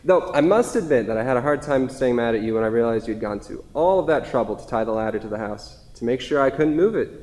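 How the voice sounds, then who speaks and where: A man playing a wistful, gently rueful grieving widower in a staged play reading, recorded in an echoey hall. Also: medium pitch, expressive, fast, few pauses, natural voice. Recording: echoey hall with long reverb.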